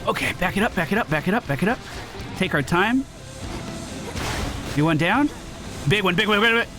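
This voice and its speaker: A man giving casual, animated live commentary as a gaming host, exclamatory and swearing freely. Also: high voice, normal speed. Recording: game music behind.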